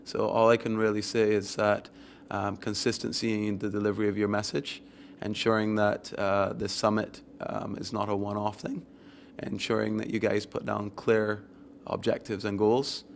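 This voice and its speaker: A man from Barbados answering interview questions in a measured, reflective way with frequent ums, speaking into a handheld mic at an event venue. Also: fairly low pitch, little pitch movement, vocal fry, slight background noise. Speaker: interview guest. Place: event venue with windows, seated on sofa.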